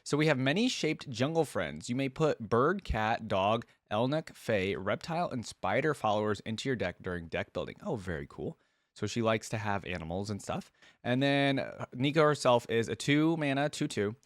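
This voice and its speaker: A man's voice: medium pitch, animated, normal speed, no room sound. A man hosting a reaction video, chatting casually and rambling his thoughts to viewers on a close mic. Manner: rambling, musing, addresses viewers.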